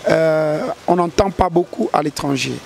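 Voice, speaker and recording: A man's voice: fairly high pitch, moderate pace, vocal fry, darkish tone, slight background noise. A man, a gospel singer answering interview questions earnestly, often restarting his sentences. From DR Congo. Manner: earnest, hesitant with repeated restarts.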